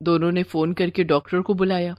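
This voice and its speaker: A woman's voice: on the low side, little pitch movement, a little fast, dry recording, dull audio. A woman narrating a scripted moral story as the storyteller.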